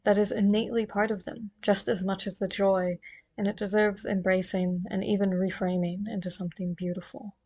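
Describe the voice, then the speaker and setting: A woman reading a written piece aloud in a measured, composed way. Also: mid-range voice, level tone, warm tone.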